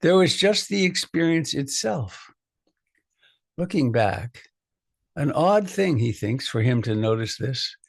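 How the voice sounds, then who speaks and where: A man reads poetry aloud with a gravelly creak in a mid-pitched voice. His phrasing is measured and reflective, like a meditation teacher's. The sound is close and dry. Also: big pitch swings, no room sound.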